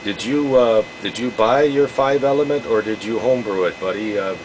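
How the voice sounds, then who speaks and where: A man with a low voice chats casually as a hobby radio operator. He speaks haltingly, rambles and repeats himself. A steady, noticeable hum runs behind his voice. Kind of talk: casual radio contact chat. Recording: constant hum and noise behind voice.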